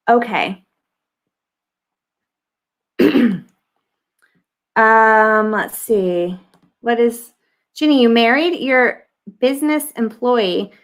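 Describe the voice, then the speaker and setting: A woman's voice: mid-range voice, a bit bright. Casting: American woman host, thirties, casual livestream Q&A, slightly scratchy throat, chatty and loose. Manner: chatty, thinks aloud with many ums. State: scratchy throat, clearing it often. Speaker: livestream host answering viewer questions. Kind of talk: casual live Q&A.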